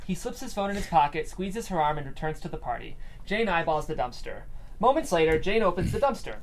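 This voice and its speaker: A man's voice: fairly high pitch, low-quality recording. A man reading stage directions as scripted narration at a table read, with room noise behind him.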